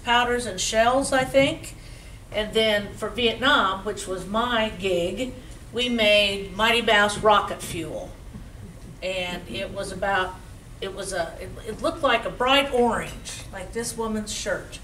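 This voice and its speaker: An older woman in her seventies with a loud voice tells stories of her old job in a chatty, animated way. She is speaking into a handheld mic in a meeting room. The camera sits across the room, so her voice sounds distant and roomy, with a faint hiss underneath. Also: mid-range voice. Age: 70s. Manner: chatty, animated storyteller with gestures. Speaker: guest speaker. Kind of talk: recounting memories to an audience. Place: community museum meeting room, indoors. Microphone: handheld mic, far camera.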